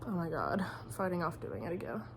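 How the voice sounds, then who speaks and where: Rambling, sniffly young woman with a lowish voice. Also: very animated, fast, vocal fry, background noise. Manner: rambling, chatty, heavy use of "like". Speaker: vlogger. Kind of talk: casual vlog chat.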